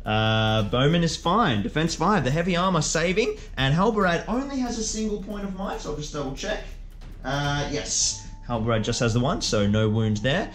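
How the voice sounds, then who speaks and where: A man's voice: a little high, expressive, normal speed, fairly bright tone. A man giving casual, self-mocking play-by-play commentary as he hosts and plays a tabletop wargame. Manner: jokey, self-mocking, exclaiming at dice rolls. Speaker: player and host of a tabletop wargame video. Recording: music behind the voice.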